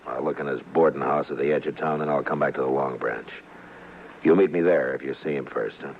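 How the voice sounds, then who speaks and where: An actor playing a steady, stern frontier marshal in an old radio western, terse and dry with quiet authority. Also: male voice, very deep voice, brisk. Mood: commanding. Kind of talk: 1950s radio western acting and narration. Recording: old radio recording, narrow band, loud noise.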